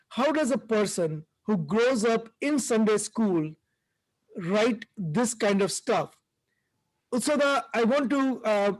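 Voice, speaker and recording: High-voiced man reading and commenting. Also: rough recording. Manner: halting, many fillers and restarts. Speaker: podcast host. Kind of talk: commentary reading an article aloud.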